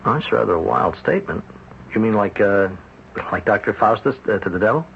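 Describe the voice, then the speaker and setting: A man acting a patient, gently skeptical psychiatrist in an old-time radio drama, speaking in a hesitant, thoughtful way. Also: on the low side, animated, vocal fry. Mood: skeptical, curious. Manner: hesitant, thoughtful, with hums and pauses. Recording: muffled, noisy old radio broadcast recording.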